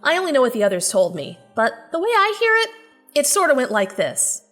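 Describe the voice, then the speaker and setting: Plucky sarcastic girl hero, highish voice, dry storytelling, audio drama w/ music under it. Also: wildly swooping pitch, a little fast, a bit bright, heavily acted. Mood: wry. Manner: wry, conversational storytelling. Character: Dorothy, plucky sardonic girl traveler and wry narrator. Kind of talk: audio drama narration and dialogue.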